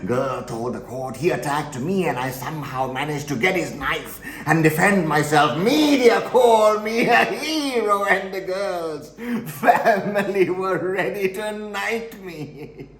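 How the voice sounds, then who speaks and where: A man acting a slow, deep-voiced psychopathic killer. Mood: wry, amused. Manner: deliberate dramatic pauses, swinging between hushed and forceful. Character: chillingly matter-of-fact male psychopathic killer, darkly amused. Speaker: monologue performer. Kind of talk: theatrical dramatic monologue. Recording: roomy echo, faint background noise.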